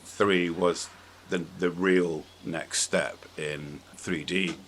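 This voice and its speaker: A man with a notably deep voice hosts a relaxed, casual discussion. He rambles tentatively, working out his thoughts aloud. Background noise is audible in the recording. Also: slow. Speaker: podcast host. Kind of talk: casual film and game chat.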